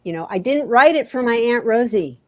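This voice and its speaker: A woman speaking as an author guest in a candid interview, halting and repeating phrases for emphasis. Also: a little high, lively intonation, dry recording.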